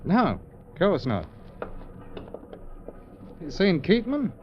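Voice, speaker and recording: An actor playing a reserved, decent rancher stranded at sea in 1950s radio drama style, with a halting, understated delivery. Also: male voice, sits high, huge pitch swings, exaggerated voice. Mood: subdued. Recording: dull, noisy vintage radio recording.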